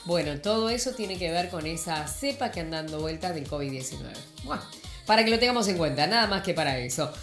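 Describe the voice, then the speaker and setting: A woman's voice: on the low side, lively intonation, brisk, reverberant, soft background music. A woman with an Argentine accent hosting a morning radio show, chatting casually and rambling with lots of fillers and restarts. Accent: Argentine Spanish. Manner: warm greeting, rambling with many fillers and restarts. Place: radio studio.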